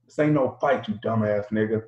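A man's voice: fairly low pitch, a little fast, darkish tone, lightly acted. A man voicing an angry young male sparring partner with a heated, complaining manner. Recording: roomy lo-fi home recording.

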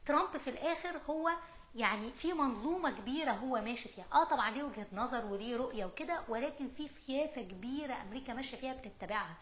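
Egyptian woman explaining stuff super fast, bright sharp voice, chatty and emphatic. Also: high voice, lively intonation, muffled recording, a little hiss or hum. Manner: emphatic, conversational, mixes in English terms. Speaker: host of a political explainer video series. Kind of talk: informal explanatory commentary to camera.

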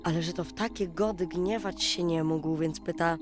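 A woman narrating a scripted fairy tale for children at bedtime, in an expressive storytelling manner. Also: fairly low pitch, moderate pace. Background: music.

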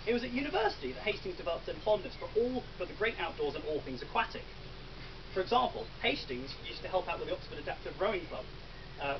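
English guy doing a best man speech, high lively voice, dry jokes, far off camera in a marquee, dull muffled sound. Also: noisy background. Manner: dry joking delivery with ums and pauses for laughs. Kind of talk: wedding toast speech. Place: large wedding marquee. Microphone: far camera, no mic. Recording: distant dull camera audio.

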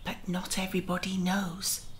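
A woman playing a gentle, kindly magical garden auntie who leads a children's guided meditation in a soothing, often near-whispered voice. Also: medium pitch, monotone, normal speed, noisy background, natural voice. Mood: gentle, inviting. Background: music.

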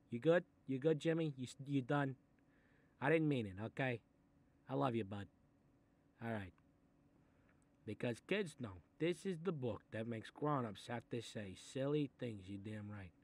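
A man playing a gruff, sarcastic Italian-American mob boss in a comic monologue, exasperated and muttering threats as he reads a picture book aloud. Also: medium pitch, a bit bright. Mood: exasperated, placating, then grudging, exasperated. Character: short-tempered, sarcastic Italian mob boss babysitting a kid. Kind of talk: comic improvised character monologue.

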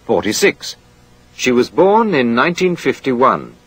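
Man, course reader, husky tone, animated up-and-down intonation, measured. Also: mid-range voice, no echo, rough recording. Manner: clear, measured drill delivery. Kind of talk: scripted English drill.

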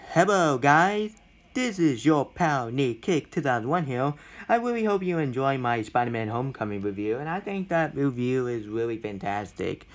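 A young man records an informal movie review. He complains bluntly, often stopping and starting and repeating his points as he goes. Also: sing-song. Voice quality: self-described deep voice. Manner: halting, repetitive, blunt complaining.

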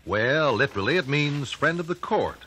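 An actor playing an earnest, composed American investigator, speaking articulately in old-time radio drama style. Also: male voice, on the high side, lively intonation, normal speed, sharp tone. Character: earnest, measured gentleman investigator, the hero. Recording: noisy vintage radio recording.

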